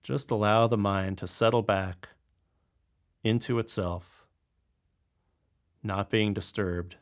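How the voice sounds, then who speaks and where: A man with a fairly low voice and a strong gravelly fry leads a guided meditation. He speaks as a calm teacher, giving gentle instructions and leaving space between his phrases. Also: takes it slowly.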